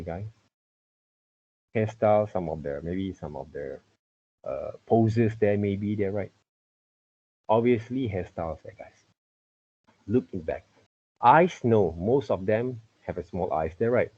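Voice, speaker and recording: A middle-aged man with a husky voice teaching an informal online lesson, repeatedly checking with "okay" and "right". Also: on the low side. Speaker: teacher, art and character design tutor. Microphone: computer mic on a video call. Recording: muffled video-call audio.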